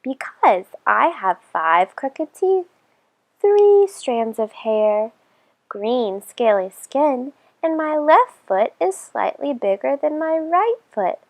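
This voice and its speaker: A woman's voice: high voice, sing-song, takes it slowly, pitched above the performer's own voice, heavily acted. A woman voicing a shy, self-conscious green male monster in a children's storybook, speaking hesitantly. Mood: anxious, self-conscious.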